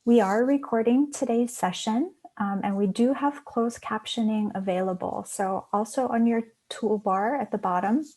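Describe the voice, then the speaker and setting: A woman's voice: fairly high pitch, moderate pace, hardly any pauses, mellow, low-quality recording. A woman from Hawaii who manages international programs, hosting an online student panel over a video call, friendly and full of "um" fillers.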